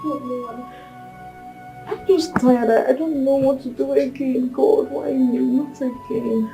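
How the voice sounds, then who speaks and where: An earnest, troubled young Nigerian woman in a screen drama. Her voice is high and mellow and moves at a slow pace, with faint music behind it. She sounds plaintive and repeats her phrases. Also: expressive, poor audio. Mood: weary, despairing. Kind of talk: film drama acting.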